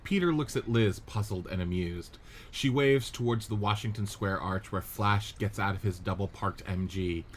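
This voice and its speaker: A man narrating the stage directions of a script reading in a steady, matter-of-fact way. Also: on the low side, moderate pace, slight background noise.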